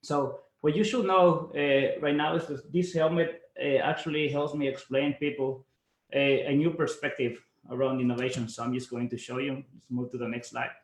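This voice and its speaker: A man's voice: mid-range voice, little pitch movement, moderate pace, echoey room, rough recording. A young man from El Salvador giving an online webinar presentation, talking casually with lots of fillers like "like" and "you know". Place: home office, based in Germany.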